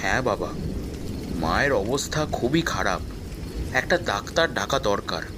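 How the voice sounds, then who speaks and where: A man's voice: fairly low pitch, expressive, unhurried. Man playing a frightened young villager, noisy background. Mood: worried. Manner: stammering, fearful. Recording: clear background rain noise.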